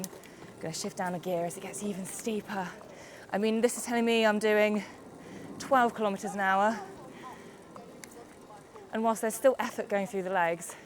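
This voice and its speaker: A woman's voice: lowish voice, noisy background. A young woman with a congested summer cold, reviewing an e-bike in a chatty, upbeat way while riding outdoors. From United Kingdom. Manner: chatty, upbeat, explains as she rides. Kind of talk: casual video review while cycling. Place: outdoors on a bike on roads and hills.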